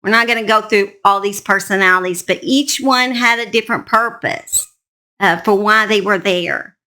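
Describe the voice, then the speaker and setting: A woman's voice: mid-range voice, normal speed, bright, forceful tone. A woman hosting and telling a true-crime story in a folksy, emphatic, conversational way. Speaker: host narrator.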